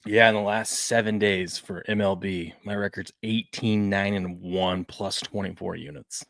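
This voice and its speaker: Low-voiced hype-prone thirties host. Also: male voice, unhurried, creaky, no echo, clean studio sound. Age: 30s. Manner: animated, jumps between mumbling and loud hype. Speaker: sports betting show host. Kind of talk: casual live-stream chat.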